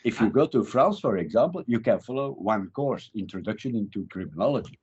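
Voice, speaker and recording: A man's voice: lowish voice, fairly quick, husky. A man in his fifties from Belgium, a Dutch speaker, answering interview questions as a guest professor, restarting phrases as he thinks. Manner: animated, repeats words while thinking. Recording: dull lo-fi recording.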